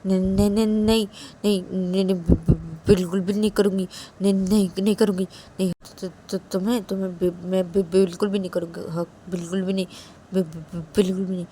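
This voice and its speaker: A woman's voice: mid-range voice, level tone, a little fast, warm tone, a little hiss or hum, natural voice. A woman voicing a nervous young woman who stammers heavily and repeats her syllables. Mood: nervous stammering. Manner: heavy stutter, repeating syllables.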